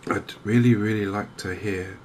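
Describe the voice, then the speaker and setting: A softly spoken man giving hesitant, unhurried ASMR-style commentary over a video game. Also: on the low side, steady tone. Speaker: gaming video host. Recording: clear game ambience noise behind voice. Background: outdoor wildlife ambience from a fishing game.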